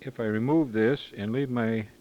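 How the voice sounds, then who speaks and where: A man making a halting, tentative, off-the-cuff demonstration into a tape recorder on a dull amateur recording. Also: medium pitch, fairly even intonation, a little hiss or hum. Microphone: microphone at varying distance.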